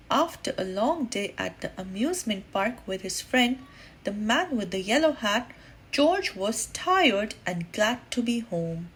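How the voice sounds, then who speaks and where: A woman reading a children's story aloud, deliberately and expressively, with drawn-out emphasis. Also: fairly high pitch, breathy edge.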